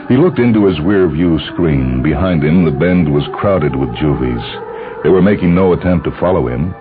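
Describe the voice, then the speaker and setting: A man reading a scripted story as a dramatic audiobook narrator. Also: extremely low pitch. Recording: dull, band-limited lo-fi audio. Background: clear background music.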